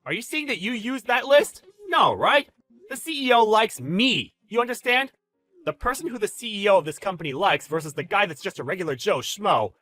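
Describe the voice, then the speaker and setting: A man's voice: sits high, expressive, a little fast, sharp tone, faint music behind, pitched above the performer's own voice. A man playing a smug, bullying boss in a dramatized story, with a taunting, slangy, exclamatory delivery. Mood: arrogant, condescending. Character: smug bullying male boss, gloating and contemptuous. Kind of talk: dramatized story narration with character voices.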